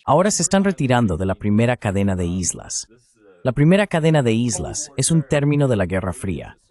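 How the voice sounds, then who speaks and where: A man's voice: mid-range voice, very animated, brisk, darkish tone, no room sound. A man giving geopolitical commentary as a guest, in a dubbed voice-over.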